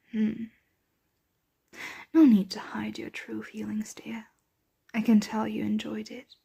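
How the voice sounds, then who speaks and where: A woman playing a doting, genteel vampire mistress in an ASMR roleplay, speaking softly and breathily in a soothing, possessive, coaxing manner. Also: a little high, gravelly, breathy edge, exaggerated voice. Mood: reassuring, teasing. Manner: slow, coaxing, whispery soft-spoken delivery. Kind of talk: ASMR roleplay, soft intimate close speech.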